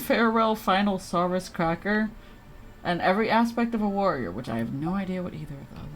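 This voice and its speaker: A woman livestreaming a video game, chatting casually and reading game text aloud in a giggly, reactive manner. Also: mid-range voice.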